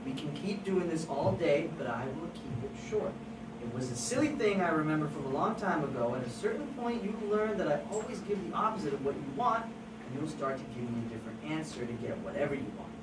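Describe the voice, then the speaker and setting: A persuasive, scheming news photographer as an amateur actor reads the part at a table read. The delivery is emphatic and intense, swinging widely in pitch. It is heard from far off in an echoey room. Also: very animated, brisk, close to an everyday voice. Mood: insistent, preachy. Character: scheming, persuasive crime photographer who stages fake scenes. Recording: distant, echoey, noisy room recording.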